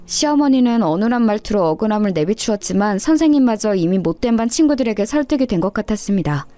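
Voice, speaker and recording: A woman's voice: mid-range voice, animated, fast, warm tone, dry recording. A woman reading a scripted first-person story as a narrator.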